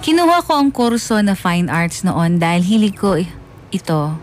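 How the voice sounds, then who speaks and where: An actor with a Filipino accent playing a bitter, wounded young son, narrating confessionally in mixed Tagalog and English for a radio drama. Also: male voice, high-pitched, sing-song, moderate pace, soft background music. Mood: bitter, brooding. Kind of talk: dramatised first-person letter narration.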